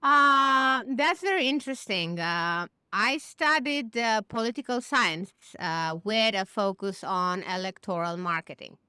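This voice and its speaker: A woman's voice: medium pitch, animated, unhurried, hardly any pauses, bright, forceful tone, clean tone, dry recording, studio quality. A woman from Romania, answering interview questions confidently as a business founder. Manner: confident, matter-of-fact. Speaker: guest, naming agency founder.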